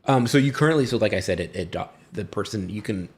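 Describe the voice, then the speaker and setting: Deep-voiced man running a tabletop game. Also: animated. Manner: halting, conversational explaining. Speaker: game master. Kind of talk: narrating a tabletop game in casual chat.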